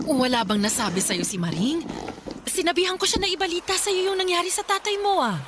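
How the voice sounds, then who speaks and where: A woman's voice: very high-pitched, expressive, a little fast, noisy background. An actress playing a caring, harried mother who fusses and reassures in a radio drama. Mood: explaining, flustered. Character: caring, harried mother of a poor fishing family.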